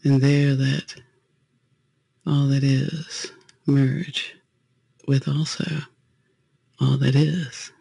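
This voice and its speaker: A man leading a guided meditation as a spiritual talk, phrasing gently and unhurriedly with pauses. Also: medium pitch, flat delivery, vocal fry, slightly rough, no room sound.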